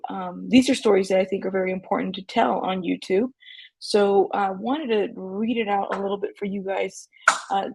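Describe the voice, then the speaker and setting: A woman hosting a commentary channel, talking casually with many fillers and reading a post aloud at an unhurried pace. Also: mid-range voice, gravelly, darkish tone, breathy edge, no room sound, low-quality recording.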